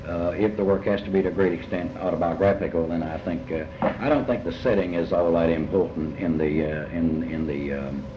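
A man with a Kentucky accent giving reflective, folksy interview answers as a novelist, halting and deliberate, often repeating his phrases. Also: sits low, fairly even intonation. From Kentucky, United States. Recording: muffled, noisy, echoey old tape.

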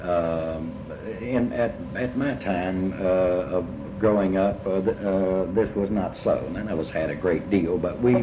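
An older man speaking reflectively in an interview about his life, halting and often repeating words as he rephrases. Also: low voice. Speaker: interviewee recalling early life. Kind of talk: reflective oral history interview. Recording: muffled, noisy, echoey room recording.

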